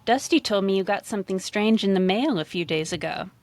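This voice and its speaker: A woman reading the part of a brisk half-orc policewoman in a fantasy storybook, firm and chiding but friendly. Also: mid-range voice, expressive, a little mellow, dry recording, close to an everyday voice. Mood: teasing.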